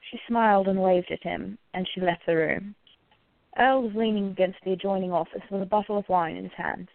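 Lady narrating a radio play, creaky voice, dry and tinny like a phone. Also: medium pitch, brisk, no echo.

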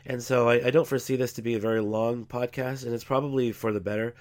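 Stuffy-nosed guy hosting a podcast, talks slow and careful, lots of uhs. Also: mid-range voice, steady tone, a little mellow. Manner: measured, explanatory, with frequent uh fillers. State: congested from a cold. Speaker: podcast host. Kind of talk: scripted-sounding podcast commentary.

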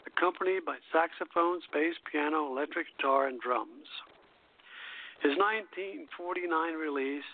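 A man hosting a radio show, reading prepared music history notes in a halting way and stumbling over the text. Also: on the high side. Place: internet radio show over a phone connection. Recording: tinny, narrow phone-line radio sound.

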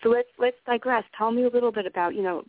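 A man hosting an interview, asking chatty questions and adding quick backchannel replies. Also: extremely high pitch, no echo. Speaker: interview host. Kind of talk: casual phone interview. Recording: tinny phone line, dry.

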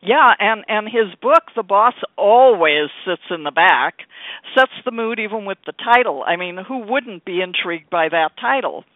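A man's voice: high-pitched, expressive, normal speed, dry recording. A man presenting a talk radio show as its host. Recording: narrow phone-like radio line, dry.

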